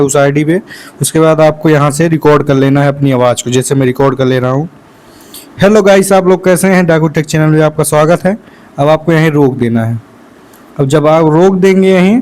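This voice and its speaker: A man with a fairly high voice talks as the host of a tech tutorial. He explains each step casually and in an instructional way, often repeating phrases. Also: darkish tone.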